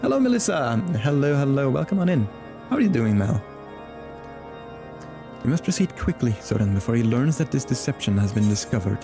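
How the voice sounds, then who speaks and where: A chatty man, live-streaming a game and greeting his viewers by name. His voice is friendly and mid-pitched, and he laughs often. Game music plays behind him throughout. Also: sing-song, brisk. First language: Flemish. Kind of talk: casual live-stream chat.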